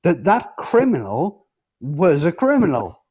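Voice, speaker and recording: A man's voice: a little high, huge pitch swings, a little slow, darkish tone, husky. A man in a heated casual debate, speaking insistently, cutting in and swearing freely.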